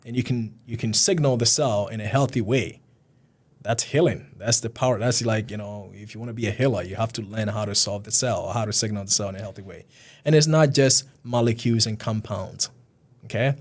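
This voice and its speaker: A man's voice: on the low side, fairly quick. A man, a physician guest talking earnestly and often repeating himself in a casual interview. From Ghana.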